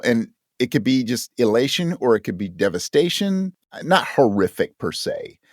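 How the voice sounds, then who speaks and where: A man with an average-pitched voice talks in a very dry recording with no room sound. He is a conversational interview guest, thoughtful and inclined to search for the right word and correct himself as he goes. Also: no room sound. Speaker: interview guest, audio drama writer.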